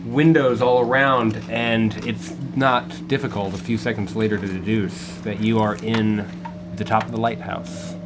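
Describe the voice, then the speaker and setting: A man running a tabletop game as game master, narrating in a halting way with many fillers. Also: on the low side, little pitch movement. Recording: background noise.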